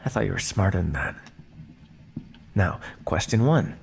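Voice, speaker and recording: A man's voice: deep voice, animated, normal speed, gravelly, slight background noise. A man playing a smug, teasing vampire scientist captor in an ASMR roleplay, speaking with a theatrical, drawling, mock-polite and sardonic manner. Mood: condescending, firm. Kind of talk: ASMR roleplay monologue addressed to listener.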